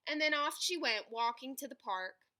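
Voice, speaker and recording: A woman reading a children's picture book aloud in an animated, dramatic way, stretching key words for emphasis. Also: high voice, normal speed. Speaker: read-aloud storyteller.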